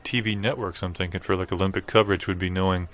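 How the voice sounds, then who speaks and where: A man improvising comedy banter in a tentative, deadpan manner. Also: low voice, fast talker.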